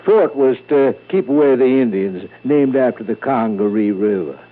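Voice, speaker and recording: A man's voice: medium pitch, lively intonation, normal speed, close to an everyday voice. On a thin, narrow-band vintage radio recording, an actor plays a small-town Southern man. He is courteous and folksy, wandering hesitantly through what he has to say in classic radio drama style. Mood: rambling, folksy. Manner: rambling, hesitant, folksy, digressive. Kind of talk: old-time radio drama acting.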